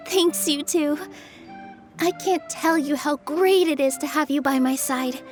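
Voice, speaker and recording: A young woman voicing a gentle, hopeful dancer who works magic, speaking softly and earnestly in a game cutscene. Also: high voice, slow pace. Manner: soft, earnest, encouraging. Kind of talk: video game cutscene dubbing. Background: faint game music.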